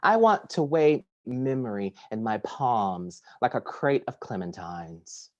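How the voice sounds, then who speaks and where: A man giving a poetry reading over a video call from his kitchen table. He reads at an unhurried, deliberate pace, and his pitch sits in a middle range. Between poems he introduces the next piece with soft, hesitant fillers. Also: very animated, highs cut off. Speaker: poet reading at a virtual series. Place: home kitchen or similar domestic room.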